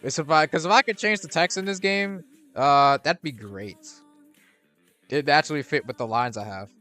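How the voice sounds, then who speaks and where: A man's voice: a little high, moderate pace, bright, forceful tone, faint music behind. A young man streaming a video game, chatting casually and rambling with restarts and fillers. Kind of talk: casual gameplay commentary.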